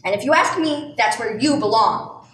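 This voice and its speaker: A woman's voice: on the high side, expressive, normal speed, lightly acted. A woman playing a suspicious, sour older landlady in an amateur radio play, curt and scolding. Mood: suspicious, scornful. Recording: echoey, distant mic, faint noise.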